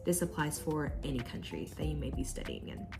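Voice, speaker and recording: A woman's voice: sits low, very animated, a little fast, creaky, music in the background. A young woman from the United States talking earnestly and casually in a vlog, giving advice from her own experience. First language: English. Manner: earnest, conversational, with fillers. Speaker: vlogger.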